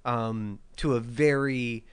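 A man's voice: mid-range voice, steady tone, slow pace, smooth. A man co-hosting a casual film discussion, speaking in an opinionated, emphatic way. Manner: opinionated, emphatic, swears freely. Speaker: podcast co-host.

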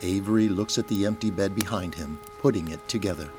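Male narrator, scripted storytelling read, fairly deep, set over clear music. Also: fairly quick. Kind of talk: scripted audio drama narration. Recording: music behind the voice.

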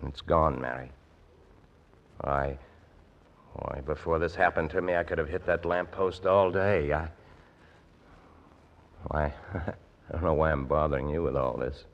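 A man's voice: extremely low pitch, fairly even intonation, a little slow, vocal fry, long pauses, dull audio, full character voice. An actor playing an earnest, self-doubting young man in an old-time radio drama, with a hesitant, stammering delivery. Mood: troubled, confiding. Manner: hesitant, stammering, repeating words. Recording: old radio recording, faint music behind.

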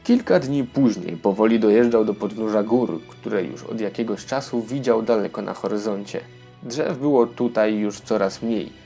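Guy reading a kids fairytale, scripted narration, deepish with some fry, soft music under it.

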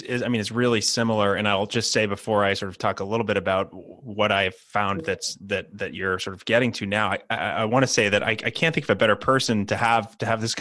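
Low-voiced man hosting a podcast. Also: bright, forceful tone, dry recording, clean studio sound. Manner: friendly, hesitant, repeats words when starting sentences. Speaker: podcast host, former Spanish teacher. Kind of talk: conversational podcast interview.

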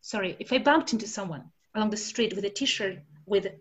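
A woman's voice: medium pitch, fairly quick, a bit bright, husky. A woman from Argentina, talking casually about translation with frequent fillers and restarts. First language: Spanish. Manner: hesitant, many fillers and restarts. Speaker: translator discussing research. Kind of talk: casual conversation.

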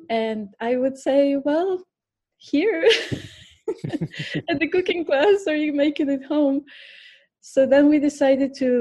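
A middle-aged woman with a Bulgarian accent speaks as a podcast guest. Her voice is mellow and fairly high, and she tells her story earnestly, pausing on fillers and restarting her sentences. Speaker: podcast guest, cooking teacher and restaurant owner. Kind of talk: podcast interview.

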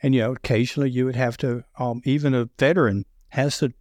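A middle-aged man with a deep Southern accent, talking loudly and telling sales stories with many restarts. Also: fast talker, no room sound, clean studio sound. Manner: loud, animated storyteller with frequent restarts. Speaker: sales podcast guest. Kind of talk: casual conversation.

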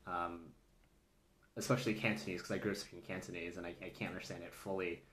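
Low-voiced man chatting, roomy echo. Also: little pitch movement, a bit bright, a little hiss or hum. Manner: halting, many fillers and restarts. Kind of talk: casual chat. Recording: echoey room.

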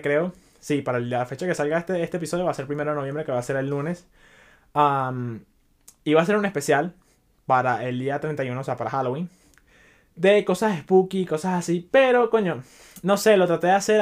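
Chatty young Venezuelan man, fairly high voice. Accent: Venezuelan Spanish. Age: young adult. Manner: loose and chatty, mixes English words into Spanish, swears casually. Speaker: podcast host. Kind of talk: casual solo podcast monologue.

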